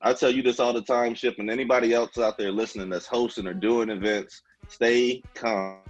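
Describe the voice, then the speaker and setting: A man's voice: mid-range voice, fairly even intonation, normal speed, highs cut off, soft background music. A man co-hosting a casual radio interview, talking in a slangy, informal way to guest and listeners. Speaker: radio show co-host and event host.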